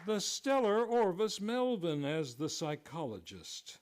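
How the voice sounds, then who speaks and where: A man with a slightly high voice announces an old-time radio show. His delivery is formal, scripted and theatrical, with faint noise behind him. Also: big pitch swings, takes it slowly, a crowd in the background.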